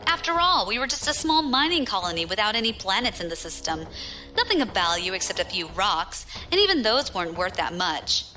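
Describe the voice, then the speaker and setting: A woman's voice: mid-range voice, sing-song, brisk, bright, forceful tone, faint music behind. An actress playing a battle-hardened, proud young female pirate captain with a wry storytelling manner in a science fiction audio drama. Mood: scornful.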